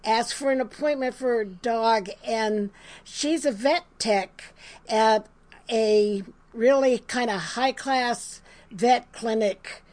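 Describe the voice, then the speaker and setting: A woman's voice: fairly high pitch, slow pace, darkish tone. An American woman in her late seventies chatting casually as a podcast co-host. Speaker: podcast co-host, pet groomer. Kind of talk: casual chat.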